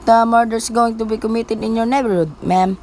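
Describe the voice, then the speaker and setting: A woman's voice: on the high side, steady tone, fairly quick, a little mellow, close to an everyday voice. Woman as a placating police desk officer, faint noise. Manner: noncommittal, procedural. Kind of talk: amateur radio-play acting.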